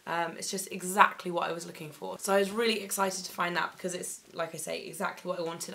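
A young woman with a fairly low voice, still a little croaky from losing it to illness. She chats eagerly and casually through a fashion haul, filling the gaps with ums and likes. She is recorded on a camera mic in her bedroom. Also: sharp tone. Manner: chatty, enthusiastic, many ums and likes. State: slightly croaky after laryngitis. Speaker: fashion vlogger. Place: bedroom, indoors.

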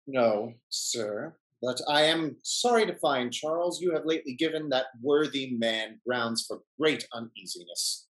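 A middle-aged male actor playing a smooth-talking, hypocritical gentleman schemer in a theatrical period stage comedy. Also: mid-range voice, measured pace, few pauses, natural voice, low-quality recording. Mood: evasive. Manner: theatrical, emphatic period speech. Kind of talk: Restoration-style stage comedy over video call. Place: indoor room, video call.

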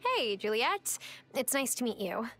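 An actress playing a friendly young woman new in town in a video game, casual, polite and gently wry. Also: on the high side, big pitch swings, a little slow, music in the background.

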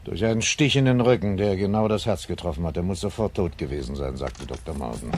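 A gruff police chief inspector played by a man in an old radio crime drama. He speaks on the low side with mocking, needling sarcasm. The vintage recording carries some faint noise. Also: fast, close to an everyday voice. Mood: matter-of-fact. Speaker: actor in a radio crime play. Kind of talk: 1970s German radio crime drama dialogue.

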